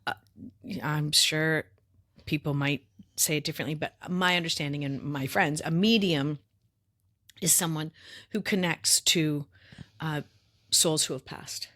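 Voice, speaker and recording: A woman's voice: low-pitched, steady tone, measured pace, pauses often, fairly bright tone. A middle-aged woman co-hosting a podcast in casual chat, a little sniffly, explaining things in long chatty lists with asides. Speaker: podcast co-host.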